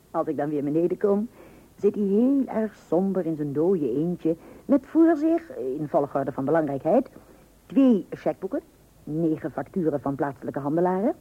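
An actress playing a long-suffering, dryly ironic wife in an old radio comedy, with a Netherlands Dutch accent. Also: female voice, on the low side, husky, exaggerated voice. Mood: dry, amused. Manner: dryly ironic, mock-patient. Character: wry, long-suffering wife humouring her pompous husband. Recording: muffled old radio recording.

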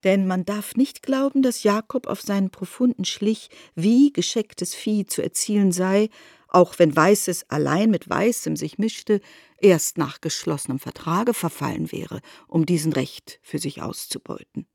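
A composed woman narrator with a medium-pitched, lightly husky voice. She speaks in deliberate, formal phrases, telling a literary tale for radio. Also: darkish tone, no room sound, clean studio sound. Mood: measured. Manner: measured, deliberate, formal storytelling.

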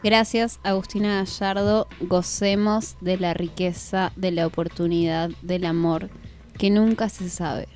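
A woman's voice: fairly low pitch, steady tone, a little slow, a little mellow. A woman with an Argentine accent presenting a literary radio show as its host. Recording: music playing behind the voice.

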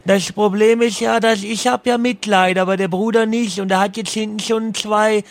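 A bumbling comic prank caller with a high-pitched voice. He is stubbornly confused and halting, tangling his grammar as he goes. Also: steady tone. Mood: helplessly puzzled. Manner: muddled, halting, garbled grammar. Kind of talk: comic prank phone calls.

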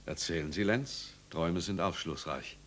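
Guy playing fatherly older pastor, old 1950s radio drama, gentle, lowish voice, slight hum. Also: moderate pace, lightly acted. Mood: kindly, attentive. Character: kindly older village pastor, calm, fatherly.

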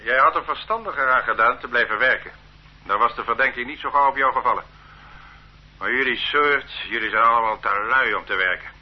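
An actor playing a stern, condescending police officer who lectures a detainee in an authoritarian way in a radio drama. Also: male voice, mid-range voice, fairly quick, breathy edge, close to an everyday voice. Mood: self-righteous. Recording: muffled old radio play recording.

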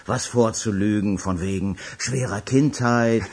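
A man's voice: lowish voice, a little slow, a little mellow. An actor in a radio crime drama playing a cocky womanizer with a wry, bantering, boastful way of talking. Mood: cocky, amused. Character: cocky, joking womanizer, boastful buddy in a wager.

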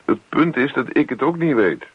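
An actor playing a put-upon, evasive husband in a radio play, muttering, grumbling and placating. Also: male voice, mid-range voice, animated, moderate pace, husky, no echo. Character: put-upon evasive husband who just wants peace and his newspaper. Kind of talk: Dutch radio play acting. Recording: dull lo-fi old radio recording.

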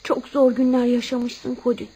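An actress in a radio play voicing an innocent young child, earnest, inquisitive and sympathetic. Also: female voice, fairly high pitch, monotone, moderate pace, dark tone, slightly rough, rough recording. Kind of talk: radio theatre acting.

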